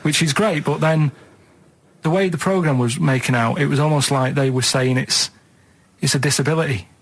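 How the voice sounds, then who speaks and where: A man's voice: fairly high pitch, normal speed, no echo. A man co-hosting a radio show, telling odd stories in a casual, rambling, hesitant way with tag questions. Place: radio studio.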